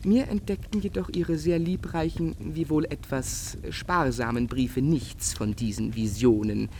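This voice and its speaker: A man's voice: fairly high pitch, fairly even intonation, measured pace, dark tone, slight background noise. A man playing a passionate, brooding young painter in love in a literary radio drama, declamatory and agitated, with old-fashioned diction. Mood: sarcastic, wounded.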